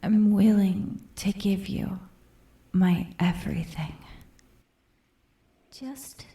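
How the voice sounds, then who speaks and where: An obsessive young yandere woman, played by a female ASMR performer, speaks very slowly in a soft, husky half-whisper. She is sweetly menacing and sadistic, a possessive captor in an intimate roleplay drama. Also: mid-range voice, no echo, heavily acted. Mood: wistful, menacing. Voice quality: soft, breathy. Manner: slow, breathy half-whispers broken by giggles.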